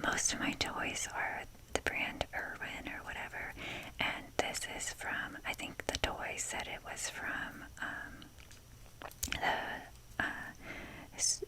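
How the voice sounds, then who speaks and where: A young woman speaks in a breathy whisper throughout, as an ASMR host would. Her delivery is casual and rambling, with a self-deprecating streak. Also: low-quality recording.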